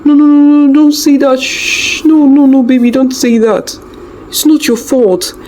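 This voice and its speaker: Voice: high voice, steady tone, unhurried, darkish tone, slight background noise, voice pushed up, poor audio. A storyteller voicing a protective, concerned young husband in a folktale, repeating words urgently. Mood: grieving.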